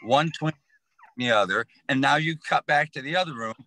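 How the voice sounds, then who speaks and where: A man on a discussion panel, explaining an idea in a casual, wry, animated way. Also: medium pitch, moderate pace. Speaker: panel guest.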